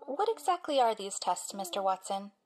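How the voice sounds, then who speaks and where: A woman's voice: medium pitch, sing-song, moderate pace, darkish tone, close to an everyday voice. An actress playing a protective young mother who gives short, guarded answers. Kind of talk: audio drama acting.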